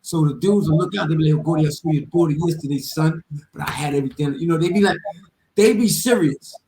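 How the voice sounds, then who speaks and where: Creaky, high-pitched New man chatting. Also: quick pace, mellow. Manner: emphatic, slangy, repetitive. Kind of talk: casual, streetwise chat. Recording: roomy echo with faint noise.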